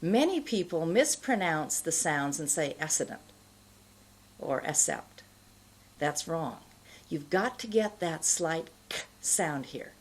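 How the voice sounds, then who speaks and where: A middle-aged woman giving an instructional pronunciation lesson, explaining in a deliberate, carefully enunciated way. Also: deep voice, slight background noise. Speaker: pronunciation teacher. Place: indoor studio, plain backdrop.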